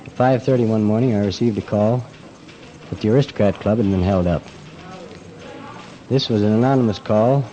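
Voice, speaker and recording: A man giving a formal, somewhat halting prepared statement as an official on an old radio program. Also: fairly low pitch, warm tone. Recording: dull, noisy old location recording.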